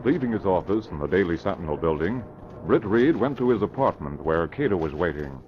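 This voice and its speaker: A man's voice: low-pitched, sing-song, brisk, noisy background. A man narrating a crime serial from a script in 1940s radio style. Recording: muffled old radio recording. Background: music.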